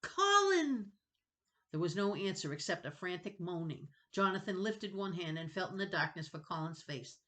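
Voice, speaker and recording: A woman's voice: fairly low pitch, steady tone, brisk, few pauses. A woman narrating a children's novel dramatically, reading with expression and suspense. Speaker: storybook reader.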